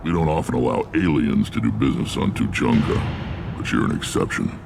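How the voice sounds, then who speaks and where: A massive alien warlord from a video game, with an extremely deep, heavily creaking voice. He speaks bluntly and with weathered authority, as a leader of clans, over clear background rumble. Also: expressive, fairly quick, full character voice. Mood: stern. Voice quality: gravelly, rumbling. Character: huge gruff alien warlord clan leader, commanding, weathered.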